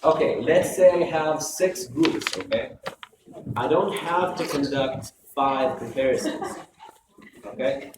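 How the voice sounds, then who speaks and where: A hoarse male lecturer teaching a class, repeating key points and often checking in with "okay?". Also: medium pitch, slow pace. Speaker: university lecturer. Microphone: close microphone. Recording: echoey classroom from far off, noisy.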